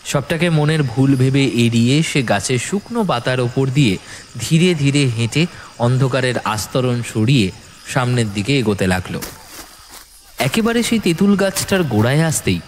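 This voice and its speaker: A man's voice: medium pitch, a little hiss or hum. A man narrating a scripted horror story in a dramatic storytelling style. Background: music.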